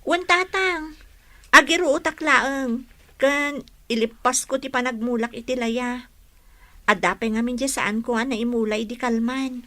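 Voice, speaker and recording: An actress playing a dutiful, caring young daughter in an Ilocano radio drama, earnest and insistent. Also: female voice, on the high side, steady tone, a little slow, pushed and bright, dry recording.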